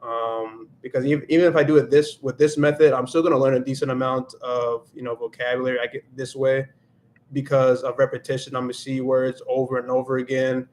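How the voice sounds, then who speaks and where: A man's voice: mid-range voice, little pitch movement, a little fast, a little mellow. A man hosting a casual livestream, thinking aloud in a reflective way and slipping into Spanish now and then. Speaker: livestream host and Spanish learner.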